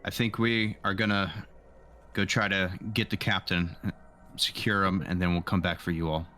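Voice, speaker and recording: Deep, bright-voiced man playing a tabletop game. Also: flat delivery, moderate pace, faint music behind. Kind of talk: casual chat, describing a character's actions.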